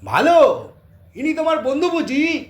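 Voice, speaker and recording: A man's voice: extremely high pitch, wildly swooping pitch, unhurried, full character voice. A man playing a friendly, hospitable rustic villager who taps date palms, in an audio drama. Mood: friendly coaxing. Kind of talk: Bengali audio story acting.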